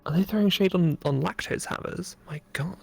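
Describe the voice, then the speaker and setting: A man's voice: sits high, brisk, gravelly, husky, faint music behind. A man co-commentating on a game in casual banter, given to mock-indignant ranting. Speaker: guest co-commentator on a gaming video.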